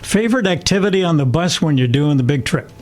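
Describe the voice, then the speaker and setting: A mature Canadian man with a fairly high voice hosts an interview podcast. He speaks conversationally and sets up his questions in a measured, orderly way. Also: lively intonation.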